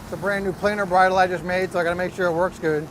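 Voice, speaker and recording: A man's voice: high-pitched, fairly even intonation, very fast, background noise. A man co-hosting a fishing vlog outdoors on a boat, explaining his gear in a laid-back, step-by-step way. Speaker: fishing partner, co-host. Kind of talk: casual explaining while rigging gear.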